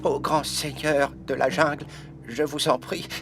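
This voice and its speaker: A man playing a small, timid rat in an animated fable, meek, polite and pleading. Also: on the high side, unhurried, over music. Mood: fearful pleading. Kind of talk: animated fable character acting.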